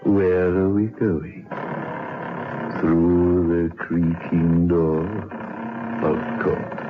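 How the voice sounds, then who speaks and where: A man's voice: low-pitched, flat delivery, drawn-out words, music in the background. A man hosting an old radio horror anthology with scripted, wry, macabre, drawling narration. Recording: muffled old radio recording.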